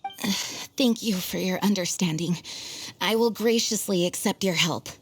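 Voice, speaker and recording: A woman's voice: medium pitch, normal speed, a little hiss or hum, natural voice. A woman playing a dutiful, selfless young knight leader in a video game, speaking formally and earnestly. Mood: dutiful, concerned.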